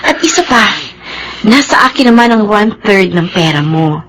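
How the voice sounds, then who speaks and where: Lady acting soothing practical woman in radio drama, lively intonation, faint noise. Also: mid-range voice, normal speed, lightly acted. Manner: reassuring.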